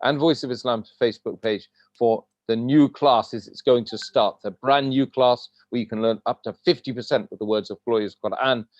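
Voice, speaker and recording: Host reading comments on a dull call. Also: male voice, medium pitch, steady tone, moderate pace, few pauses, no echo. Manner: upbeat, reads out viewer comments and announcements. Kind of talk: live online class hosting. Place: home room. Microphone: video call.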